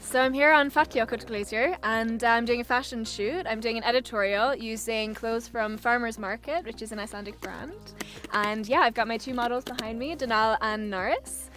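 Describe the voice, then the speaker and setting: A woman's voice: a little high, moderate pace, a bit bright, soft background music. A woman of around thirty with an Irish accent, chatting casually to a vlog audience outdoors on location. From Ireland. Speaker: photographer on location. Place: outdoors on a glacier.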